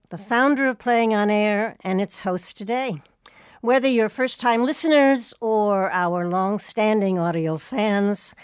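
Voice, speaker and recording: A woman's voice: medium pitch, clear, resonant tone, no echo. Formal woman host with a dry, close sound. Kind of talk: scripted introduction and interview.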